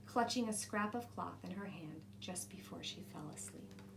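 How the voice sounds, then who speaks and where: A woman reading a children's storybook aloud in an expressive narrating style. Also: on the low side, moderate pace, vocal fry, heavy background noise. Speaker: storybook reader.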